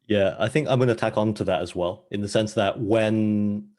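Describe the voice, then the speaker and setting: Low-voiced man who grew up in the Middle East. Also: fairly even intonation, moderate pace, darkish tone. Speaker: panel speaker, hiring interviewer. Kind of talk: casual advice talk.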